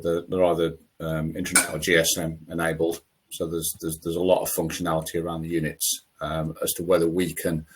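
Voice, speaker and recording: A man answers questions on a conference panel in a very deep, husky, flat voice. He speaks quickly and repeats words as he starts sentences.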